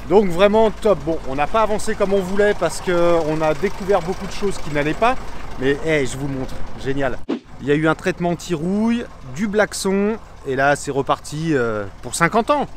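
A man's voice: fairly high pitch, expressive, moderate pace, a little hiss or hum. A man in his forties, upbeat and enthusiastic, filming himself outdoors in a rural yard and explaining repair work on a bus. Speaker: vlogger doing bus repairs. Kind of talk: casual selfie vlog walkthrough. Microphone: phone camera at arm's length.

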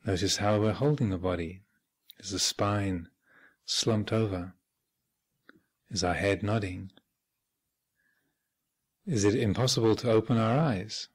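A man speaking as a meditation teacher, giving measured guided instruction with thoughtful pauses and gentle phrasing. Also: fairly low pitch, husky. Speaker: Buddhist monk and meditation teacher leading a retreat. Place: meditation hall at a retreat center.

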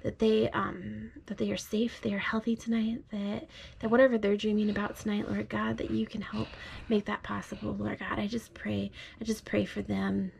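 A woman speaking gently, as a parent does to a small child at bedtime. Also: mid-range voice, flat delivery, moderate pace, gravelly, a little hiss or hum. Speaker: parent reading aloud. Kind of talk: bedtime storybook read-aloud. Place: bedroom at home.

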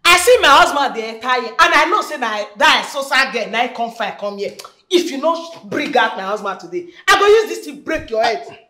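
High-voiced, jealous Nigerian wife played by a woman. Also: lively intonation, a little fast, few pauses, bright, forceful tone, full character voice. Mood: menacing. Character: jealous, bossy wife, threatening and suspicious. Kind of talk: comedy skit acting in Nigerian Pidgin.

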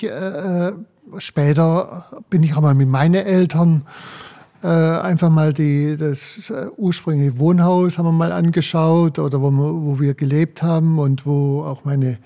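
A man in his sixties with a slight Berlin accent, recalling his past in an interview at a desk mic in a small room, halting with many fillers. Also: a little high, warm tone. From Berlin, Germany. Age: 60s. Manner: halting, many fillers, slows when remembering. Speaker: guest, former footballer. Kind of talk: interview, personal recollections. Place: small room with window, seated at table. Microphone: desk podcast mic.

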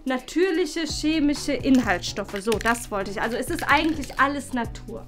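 A woman's voice: high-pitched, animated, normal speed, soft background music. A woman playing an overconfident schoolgirl who does hair, rambling and reassuring. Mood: boastful. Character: cocky girl pupil running a hair salon. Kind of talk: comedy sketch acting.

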